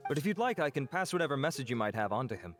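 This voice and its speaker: A man voicing a polite, composed and helpful official in a video game, speaking in a courteous, measured way. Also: medium pitch, sing-song, over loud music, close to an everyday voice.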